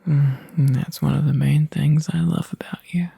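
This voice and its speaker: A man playing a tired, overworked young boyfriend in a soft, intimate ASMR roleplay, halting and breathy, often dropping into a whisper close to the mic. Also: on the high side, steady tone, no echo, full character voice. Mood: tender. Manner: halting, drowsy, sighing delivery. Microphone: close microphone, dry.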